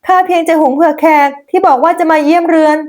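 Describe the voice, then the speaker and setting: A woman's voice: extremely high pitch, fairly even intonation, fast, a little mellow, voice pushed up. A woman voicing a meek, kind-hearted young daughter-in-law in a folk tale, speaking politely and submissively. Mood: meek, obedient.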